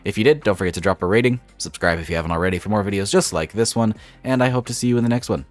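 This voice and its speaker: A man's voice: fairly low pitch, rapid-fire, no echo, soft background music. A young man with a cold and a slightly stuffy voice, hosting a gaming channel and walking through a game mod step by step in an upbeat, casual voice-over.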